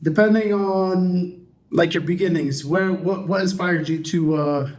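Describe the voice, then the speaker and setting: Give me an upbeat, higher-voiced male podcast host. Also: unhurried, darkish tone. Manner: upbeat, chatty, loose, many ums. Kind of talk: casual podcast interview.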